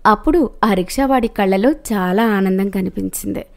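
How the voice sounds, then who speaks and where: A woman's voice: mid-range voice, normal speed, gravelly. A woman reading a scripted moral story as its narrator.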